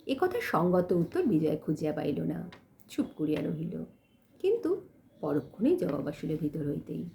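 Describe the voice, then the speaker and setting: Lady narrator reading a literary story, expressive and dramatic, pitch moves around a lot. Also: normal speed, creaky, a little hiss or hum. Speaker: audiobook narrator.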